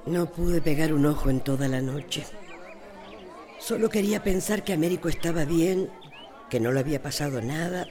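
A woman's voice: low-pitched, normal speed, mellow, lightly acted. An actress playing a grieving, stubborn elderly woman in a radio soap opera, weary and plaintive, with a Costa Rican accent. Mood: sorrowful, resigned. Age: older.

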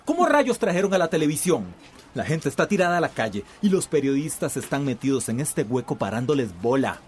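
A man's voice: a little high, very animated, brisk, a little mellow, slight background noise. A man playing a nervous, irritated company boss in a radio drama, grumbling with exasperation. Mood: exasperated.

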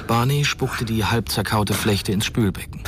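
A low-voiced male storyteller, played by an actor narrates a bleak science fiction radio play. His delivery is sober and measured, with clear music behind it. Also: close to an everyday voice. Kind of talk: scripted radio drama narration.

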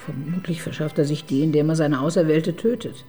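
A woman's voice: deep voice, steady tone, a little fast, mellow, faint music behind, lightly acted. A woman playing a shrewd, brooding police chief inspector in a radio crime drama, wry and thoughtful. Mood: pensive. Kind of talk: naturalistic German radio drama dialogue.

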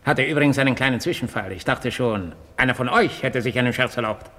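An actor playing a commanding, impatient gang boss in an old radio comedy, husky and sardonic, handing out orders. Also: male voice, medium pitch, fast, fairly bright tone, natural voice. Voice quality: husky, gravelly. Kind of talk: 1950s German radio comedy acting. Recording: reverberant old radio drama recording.

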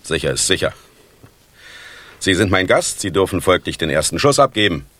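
An actor playing a smug, menacing older East German party official in a radio drama, speaking in a measured, declamatory and falsely courteous way. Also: male voice, deep voice, very animated, a bit bright, full character voice.

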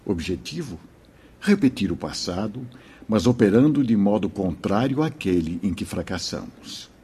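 A man with a Brazilian accent playing a wise, fatherly priest and spirit mentor in a radio drama, speaking in a measured, solemn, didactic way. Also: fairly low pitch, expressive, dark tone, breathy edge, exaggerated voice. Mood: solemn, instructive. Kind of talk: Portuguese-language radio drama acting.

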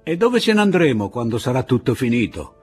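A man's voice: on the low side, animated, moderate pace, husky, faint music behind, pitched above the performer's own voice. A man voicing a tough older woman guerrilla leader with a raised, emphatic delivery, in an audiobook reading.

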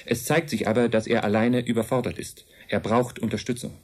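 A man plays an earnest male envoy from an advanced race in a radio drama. His voice sits at a medium pitch and he explains things in a calm, measured, matter-of-fact manner. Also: lightly acted. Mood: earnest, explanatory. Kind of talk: German radio drama acting.

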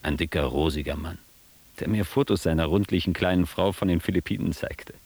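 An actor playing a worldly, ironic young East German writer looking back in a radio drama. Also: male voice, sits low, brisk, darkish tone, slightly rough. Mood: storytelling, wry. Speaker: actor playing narrator and lead. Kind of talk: radio drama narration and dialogue.

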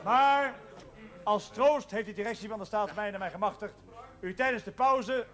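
An actor playing a jovial, formal union man hosting a village film evening in a television drama, with a pompous, halting manner. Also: high voice, crowd noise, lightly acted. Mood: formal, festive. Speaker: master of ceremonies. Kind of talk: ceremonial public address in a television drama. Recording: loud music behind, 1970s television soundtrack.

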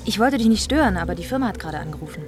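An actress playing a suspicious wife in a German radio drama, composed but hurt, questioning tentatively and persistently. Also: female voice, medium pitch, animated, rapid-fire, music in the background, natural voice. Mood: probing, suspicious.

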